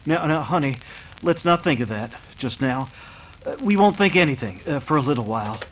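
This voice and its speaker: Man acting earnest young western hero, high voice, lively swoops, homespun, noisy recording. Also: a little fast, lightly acted. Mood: soothing. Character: earnest, protective young railroad foreman. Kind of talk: old-time radio western acting.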